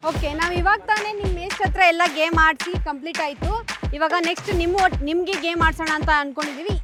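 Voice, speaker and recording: A woman's voice: very high-pitched, quick pace. A woman with a Kannada accent hosting a college game show, urging on a student audience with short repeated phrases. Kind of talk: lively event hosting, addressing a student crowd. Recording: loud music and cheering crowd behind.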